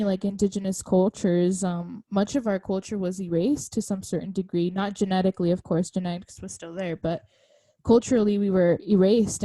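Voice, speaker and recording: Woman podcast co-host, warm mellow voice, dry close sound, earnest and emphatic. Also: medium pitch, dry recording. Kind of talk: casual conversation.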